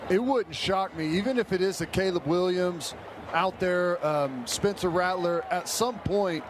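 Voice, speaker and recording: American dude doing color commentary on football, pretty high voice, chatty breakdowns, stadium noise behind him. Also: male voice, measured pace. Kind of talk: conversational football analysis. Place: stadium radio booth. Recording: stadium crowd and background noise.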